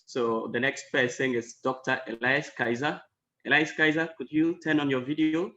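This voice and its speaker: A man's voice: medium pitch, fairly even intonation, moderate pace, sharp tone. A man works as moderator of an online conference, calling on speakers politely and apologizing for his pronunciation. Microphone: video call. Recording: dull lo-fi call audio.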